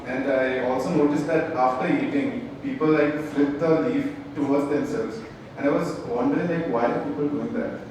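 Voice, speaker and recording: A young man from West Bengal tells a casual story, leaning on "like" as he goes. He stands well back from the microphone. The room has a heavy echo and steady noise behind him. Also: mid-range voice, moderate pace, few pauses. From West Bengal, India. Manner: informal, uses "like" as a filler often. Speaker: audience member. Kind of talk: casual spoken anecdote. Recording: echoey room, distant from the mic, audible noise.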